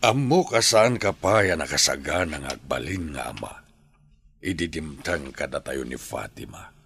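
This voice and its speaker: An actor playing an old grandfather in a radio drama, patient, measured and admonishing. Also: a bass voice, animated, vocal fry, a bit bright, slight background noise, heavily acted.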